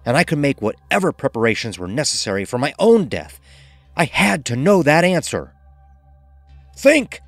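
A man's voice: medium pitch, no room sound. A man narrating a first-person horror story in a grave, intense, theatrical manner.

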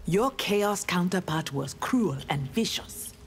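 A woman's voice: on the low side, lively intonation, takes it slowly. A woman playing a defiant, honorable female ninja warrior in a video game, speaking firmly and earnestly. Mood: composed. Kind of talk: scripted video game dialogue. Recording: music behind the voice.

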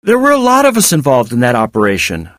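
A man's voice: medium pitch, huge pitch swings, fast talker, fairly bright tone, no room sound, studio quality, pitched above the performer's own voice. A man voicing a trapped, weakening but defiant male hacker in audiobook dialogue, strained and emphatic. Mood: struggling.

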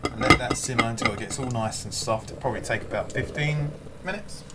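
A young man casually talking through a simple soup recipe in his home kitchen, in a relaxed, chatty way. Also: mid-range voice, brisk. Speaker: vlogger cooking at home. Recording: loud background noise.

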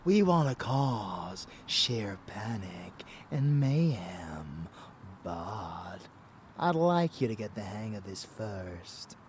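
Guy doing a bloodthirsty vampire boyfriend for asmr, deep voice, intimate whispery drawl, bit of noise behind. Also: big pitch swings, drawn-out words, full character voice. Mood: boastful, menacing. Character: rebellious murderous male vampire lover, doting yet bloodthirsty. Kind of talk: ASMR audio roleplay.

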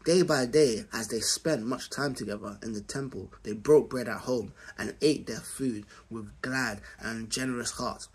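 A young man with a rough, husky voice reading scripture aloud in a room at home. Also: mid-range voice, unhurried, few pauses. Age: young adult.

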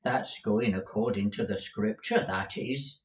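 A man's voice: lowish voice, a little slow, distant mic, natural voice. A man reading aloud and voicing a coarse, greedy middle-aged schoolmaster who drawls folksy, sanctimonious talk. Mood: self-satisfied.

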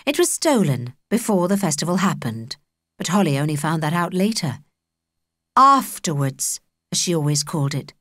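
A woman with a British accent narrating a children's audiobook in an expressive, measured storytelling manner. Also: low-pitched, no echo, studio quality. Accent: British English.

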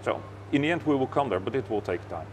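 A man's voice: mid-range voice, expressive, fast talker, noisy background. A middle-aged man with a Dutch accent, a native Dutch speaker, giving a factory tour and answering questions with many fillers and restarts. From Netherlands. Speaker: company executive. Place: warehouse then glass-walled office.

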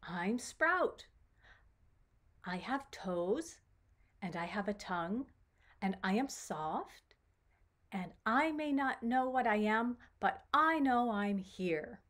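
A woman's voice: on the high side, a little slow, few pauses, darkish tone, pitched above the performer's own voice. A woman voicing a small, innocent young male creature in a children's story, speaking simply and earnestly. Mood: proud, happy.

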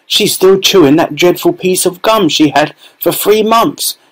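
A nosy onlooker from a storybook crowd, as a man puts him on. The voice rides high and loud, calling out gossip with relish. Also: measured pace, low-quality recording. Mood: excited. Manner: shouting, gossipy.

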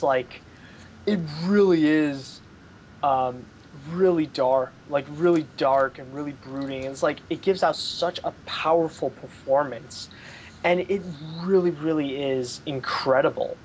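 A man's voice: on the high side, slight background noise, poor audio. A young man hosting a casual film discussion over a video call from his home room, rambling enthusiastically with lots of "like" and "pretty much". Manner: enthusiastic, gestures while talking. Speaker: podcast host. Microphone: headset on a video call.

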